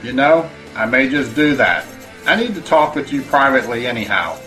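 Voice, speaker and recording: An actor in an audio drama playing an authoritarian government commander with a measured, businesslike manner. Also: male voice, mid-range voice, animated, pushed and bright, faint music behind, low-quality recording. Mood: cordial.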